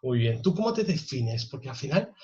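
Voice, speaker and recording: A man's voice: medium pitch, sing-song, a little fast, darkish tone. Slightly muffled man hosting an interview. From Spain. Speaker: interviewer, host. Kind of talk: semi-formal conversational interview.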